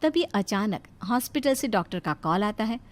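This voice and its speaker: A woman's voice: medium pitch, lively intonation, moderate pace. A woman narrator reading a scripted story.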